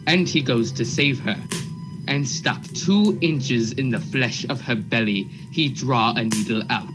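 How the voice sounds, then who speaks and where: An earnest, officious Puritan lawman acted by a man in a radio drama. His mid-pitched voice is formal, rustic and insistent. Music plays behind him. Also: unhurried, close to an everyday voice, rough recording. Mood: alarmed. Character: Cheever, earnest, officious male court officer serving warrants. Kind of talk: radio play acting.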